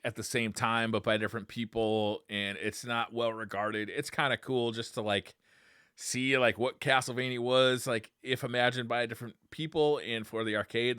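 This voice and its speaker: A man's voice: medium pitch, pushed and bright, clean tone, no echo, studio quality. A man co-hosting a podcast, chatting casually and enthusiastically about video game news in informal, slangy language. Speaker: podcast co-host.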